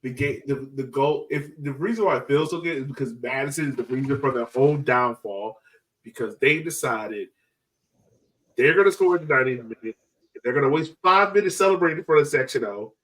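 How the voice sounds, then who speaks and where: A man chatting casually as a sports fan podcast host, excited and slangy, swearing and repeating phrases for emphasis. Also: mid-range voice, fairly quick, far from the mic, poor audio.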